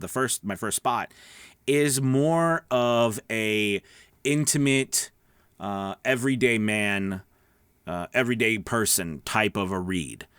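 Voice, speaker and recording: A man's voice: lowish voice, fairly bright tone, clean tone, dry recording. A middle-aged man giving casual instructional talk as a voice-over coach, emphatic and conversational, often checking in with listeners. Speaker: voice-over coach and online host.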